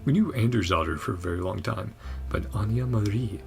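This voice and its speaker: A woman with an extremely low, gravelly voice comments casually as she plays through a game story. Her delivery is unhurried and comes in short fragments and asides, with game music playing behind her. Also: darkish tone. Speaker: gaming streamer reacting to a story quest. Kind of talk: casual game reaction commentary, murmured asides and read-along dialogue.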